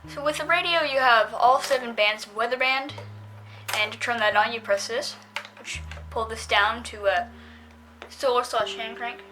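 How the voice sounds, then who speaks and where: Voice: medium pitch, normal speed, sharp tone, soft background music, poor audio. A female-sounding reviewer giving a casual, unscripted product demonstration, talking in a hesitant, rambling way.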